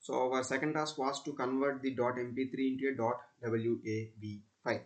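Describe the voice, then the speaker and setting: A man narrates a step-by-step software tutorial as a student presenter, in halting, repetitive instructional phrases. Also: mid-range voice, flat delivery.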